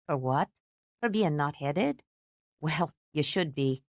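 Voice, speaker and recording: A woman's voice: sits low, lively intonation, slow pace, no room sound, full character voice. A woman voicing a stern, bossy older housekeeper who scolds and lectures out of fierce fondness. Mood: scolding.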